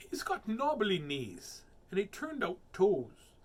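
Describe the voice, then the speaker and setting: Man voicing a small crafty mouse, high and swooping. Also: huge pitch swings, takes it slowly, exaggerated voice. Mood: sly, boastful. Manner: exaggerated, over-courteous, with a put-on accent. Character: small polite crafty mouse, boastfully describing a monster.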